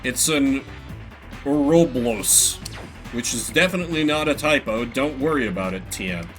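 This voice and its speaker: A man's voice: medium pitch, expressive, moderate pace, fairly bright tone. Rambling, jokey male game streamer. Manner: rambling and jokey, with offhand asides. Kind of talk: casual gameplay commentary. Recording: game music in the background. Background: game music.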